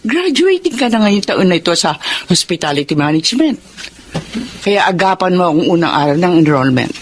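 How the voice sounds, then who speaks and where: A woman's voice: lowish voice, very animated, normal speed. A middle-aged Filipino mother struggling to keep her family going. She speaks earnestly and with resolve, in radio drama style, over faint background noise. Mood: firm, serious. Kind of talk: Tagalog radio drama acting.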